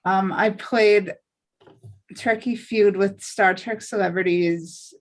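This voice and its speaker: A woman with a mid-pitched voice talks casually in a group. She is chatty and rambles a little as she goes. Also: fairly even intonation, takes it slowly. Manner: chatty, rambling, listing her credits. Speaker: actor, table read participant.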